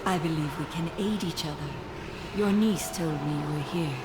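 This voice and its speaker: A woman's voice: sits low, normal speed, heavy background noise, lightly acted. An actress playing an aloof female necromancer in a fantasy game, speaking in a composed, formal and solemn manner. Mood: cool, resolute.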